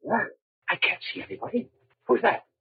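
An actor playing a frantic, innocent condemned man in a vintage radio drama, with a strained, raspy voice. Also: high voice, very animated, brisk, dry recording, full character voice. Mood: terrified, desperate. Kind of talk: 1930s radio acting. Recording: muffled vintage radio recording.

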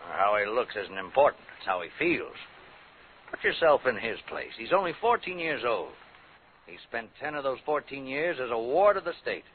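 An actor playing a stern, commanding prison warden who lectures with authority in a 1950s radio drama. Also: male voice, medium pitch, sing-song, normal speed, lightly acted. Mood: earnest, firm. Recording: tinny old radio broadcast, faint noise.

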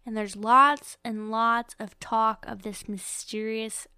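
A woman's voice: a little high, steady tone, slow pace, bright, forceful tone, clean tone, no echo, clean studio sound. A woman hosting a podcast, chatting casually and comedically about a book in a playful, emphatic, sweary way. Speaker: podcast host.

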